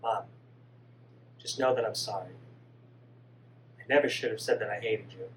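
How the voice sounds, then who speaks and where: A man doing a soft, remorseful young son. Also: fairly even intonation, moderate pace, natural voice. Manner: soft, pleading, lower and quieter. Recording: distant mic with faint hum.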